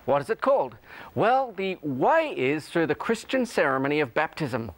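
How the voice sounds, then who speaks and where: A man hosts a religious talk show from a studio. His voice sits a bit high, and he asks earnest, leading questions with emphasis. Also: big pitch swings, moderate pace.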